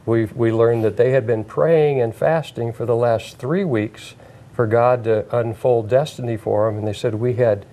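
A man's voice: mid-range voice, fairly even intonation, moderate pace, dark tone. An older man hosting a studio interview as a pastor, telling a story earnestly and reflectively. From Washington state, USA. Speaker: pastor host. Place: studio set, indoors. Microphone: lapel mic.

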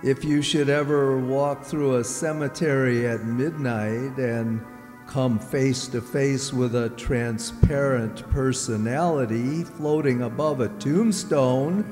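Slow, macabre radio horror host played by a man. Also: mid-range voice, a little mellow, lightly acted. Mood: mock-spooky welcome. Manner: deliberate, drawn-out, teasing. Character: genial macabre horror-show host, pun-loving, mock-spooky. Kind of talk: old-time radio horror host acting. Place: on stage in a community hall. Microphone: stand microphone. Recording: echoey hall stage, music behind.

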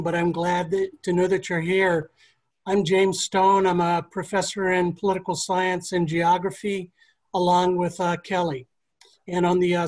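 Brief: older male professor, higher flat voice, friendly, distant call audio. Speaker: political science professor. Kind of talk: panel self-introduction.

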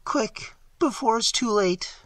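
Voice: medium pitch, slow, fairly bright tone, dry recording, pitched above the performer's own voice. A storyteller playing a terrified, despairing man trapped underground, pleading frantically in boyish slang. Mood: urgent pleading.

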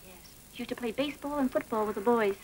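A woman playing a brisk, chatty nun with a fairly high voice who talks fast. An old film soundtrack adds faint hiss. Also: a little mellow, close to an everyday voice, poor audio. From Ireland. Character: chatty older female nun from Ireland.

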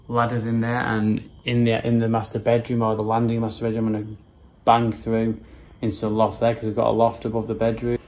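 Guy in his 30s doing a house tour vlog, flat delivery, rambly, bit of background noise. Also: fairly low pitch. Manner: rambling, matter-of-fact, informal. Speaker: home renovation vlogger. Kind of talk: casual walk-through vlog narration. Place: rooms of a house, indoors. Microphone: handheld camera or phone at arm's length. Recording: noticeable background noise, handheld camera indoors.

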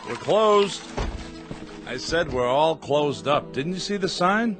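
An actor playing a grumbling, folksy American diner owner with a sardonic manner in a radio drama. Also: male voice, fairly high pitch, expressive, slow pace, music in the background. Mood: weary, puzzled. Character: wry, grumbling roadside diner cook and owner.

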